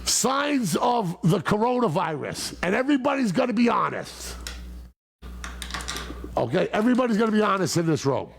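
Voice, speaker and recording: A man's voice: high voice, big pitch swings, normal speed, slight background noise. An older man hosting a casual talk show, rambling and joking with his guests. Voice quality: gravelly, husky. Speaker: host.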